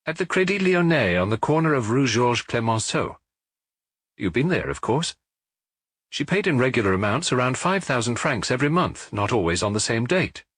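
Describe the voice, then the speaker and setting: A man reading an audiobook, voicing a middle-aged male police officer who gives his report in a measured, matter-of-fact way. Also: mid-range voice, very animated, fairly bright tone, no room sound. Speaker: audiobook reader.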